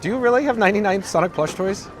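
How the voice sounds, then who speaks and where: A man with a raspy voice hosting a casual livestream outdoors, reading chat aloud in a dry, self-mocking monotone while holding his camera rig. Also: high voice, racing. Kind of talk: casual live-stream chatter. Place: outdoors on a parade route. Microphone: camera rig held by the speaker. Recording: outdoor crowd with music behind.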